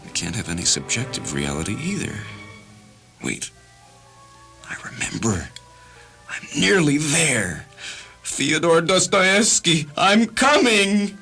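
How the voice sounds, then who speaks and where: Male reader as agitated confused man, excitable, halting, very animated, storybook drama. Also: a little high, faint music behind, voice pushed up, heavily acted. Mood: confused, feverish. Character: agitated, confused man confessing, prone to outbursts.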